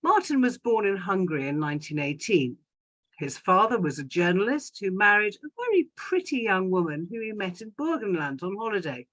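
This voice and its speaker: A mature woman gives a prepared talk as a guest speaker, reading it like a story. Her voice has an average pitch for a woman but moves up and down in a very lively, animated way. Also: a little fast. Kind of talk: scripted narrative talk, read aloud.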